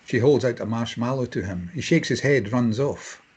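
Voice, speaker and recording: A man's voice: medium pitch, moderate pace, slightly rough. A man reading scripted stage directions as narrator at a table read.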